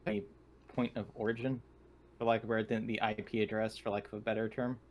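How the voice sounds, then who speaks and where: A man's voice: fairly low pitch, little pitch movement, fast talker. A man playing a tabletop roleplaying game, chatting casually and searching for his words.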